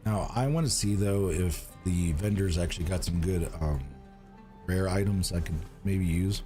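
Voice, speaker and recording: A man's voice: deep voice, moderate pace, warm tone, soft background music. A man streaming a game and chatting to viewers, rambling with lots of fillers and restarts while getting over a cold. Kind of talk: casual gaming livestream chat.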